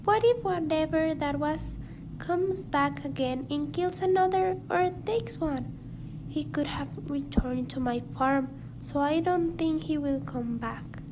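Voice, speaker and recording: Woman acting a very high-pitched, worried farmer lady. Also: measured pace. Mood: puzzled, uneasy. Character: worried farmer lady frightened for her killed goats. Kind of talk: amateur radio drama acting. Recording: noisy amateur home recording.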